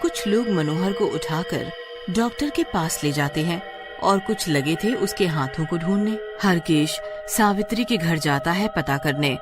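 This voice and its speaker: Woman reading a spooky story, slow and really animated, music behind her. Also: lowish voice. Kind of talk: scripted dramatic Hindi horror story narration.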